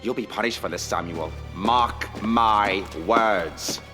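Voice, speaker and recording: A slow, low-voiced stern workhouse master. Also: male voice, pushed and bright. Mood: stern, threatening. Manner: commanding, deliberate. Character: stern, menacing workhouse master, greedy and pompous. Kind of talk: radio drama acting. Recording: long hall reverb, music behind.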